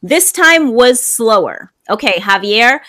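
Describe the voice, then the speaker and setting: A woman's voice: a little high, animated, slow pace, bright, forceful tone. A woman teaching an online English class, explaining grammar patiently and repeating phrases for learners.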